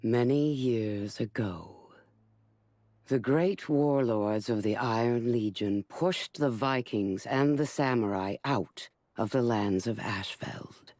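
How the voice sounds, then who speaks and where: A woman voicing a ruthless, commanding female warlord in a game cutscene, speaking slowly and gravely with a hushed menace. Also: very deep voice, dry recording, clean studio sound. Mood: grim, menacing. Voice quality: slow, measured, grave delivery with hushed menace. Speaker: villain narrator. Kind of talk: scripted video game cutscene narration.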